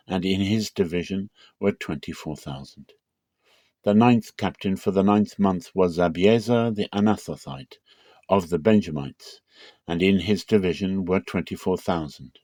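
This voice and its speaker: A man giving a dramatic scripture reading in a measured way, slowing for emphasis. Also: low voice, darkish tone, no room sound. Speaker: Bible reader.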